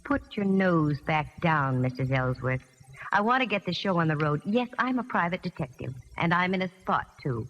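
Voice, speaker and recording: A woman's voice: lowish voice, very animated, a bit bright. An American actress playing a brassy, wisecracking female private detective in a 1940s radio drama, sassy and wry. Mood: brisk, businesslike. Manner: sassy, wry, quick with quips. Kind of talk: 1940s radio acting, first-person narration and dialogue. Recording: muffled old radio recording, reverberant, faint music.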